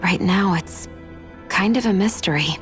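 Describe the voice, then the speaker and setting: An actress playing a guarded, reflective young heroine in a video game, speaking thoughtfully and earnestly. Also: female voice, medium pitch, measured pace, lightly acted. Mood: somber, reflective. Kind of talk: dramatic video game cutscene acting. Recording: music playing behind the voice.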